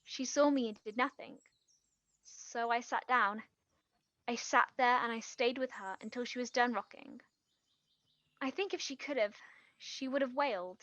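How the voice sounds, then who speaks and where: An actress playing an earnest, tender younger woman in a stage play, speaking haltingly and trailing off. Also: on the high side, a bit bright, no room sound, dull audio, close to an everyday voice. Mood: wistful, gentle. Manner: halting, trailing off mid-sentence. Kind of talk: stage play acting, quiet two-hander.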